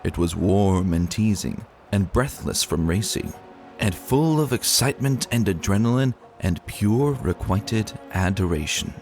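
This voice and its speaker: Unhurried low-voiced man narrating a story. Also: animated, darkish tone, faint music behind. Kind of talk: dramatic scripted story narration.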